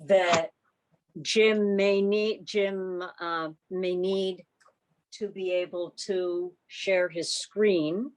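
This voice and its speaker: A woman talks on a video call as an informal meeting host. Her delivery is slow and halting, with an unhurried, unscripted feel as she moves between club business and introductions. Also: on the low side, steady tone, rough recording.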